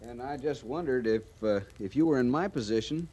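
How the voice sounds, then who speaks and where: An actor playing an awkward, polite young cavalry lieutenant in a radio western, speaking hesitantly and earnestly. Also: male voice, mid-range voice, a little fast, a little mellow, soft background music, close to an everyday voice. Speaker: junior cavalry officer. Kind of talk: old-time radio western acting. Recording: noisy old radio recording.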